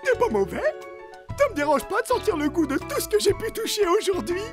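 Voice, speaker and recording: A woman's voice: very high-pitched, big pitch swings, fairly quick. A woman playing a naive, sensitive male boar in a French cartoon, whiny and childlike in manner. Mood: nervous. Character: naive, sensitive, hungry male boar posing as pet cat. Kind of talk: French animated cartoon dub. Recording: cartoon music behind.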